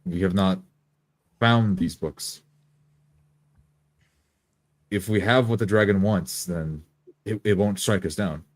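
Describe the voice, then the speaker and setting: An earnest fantasy adventurer, acted by a male player, with a deep voice recorded very dry. He lays out strategy haltingly and deliberately in a tabletop roleplay. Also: no echo. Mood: earnest, planning.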